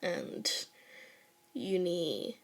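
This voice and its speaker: A woman's voice: on the low side, lively intonation, drawn-out words. A young adult woman speaking informally as she introduces and reads her own fan fiction. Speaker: podfic author and reader. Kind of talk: casual spoken intro and fan fiction narration.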